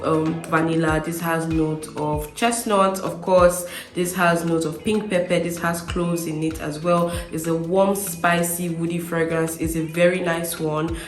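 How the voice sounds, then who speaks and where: A woman's voice: sits low, roomy sound. A woman chatting casually to camera as a perfume vlogger, slightly nasal as she gets over a cold, stressing her points with repeated words. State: recovering from a cold. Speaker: beauty vlogger presenting perfume reviews.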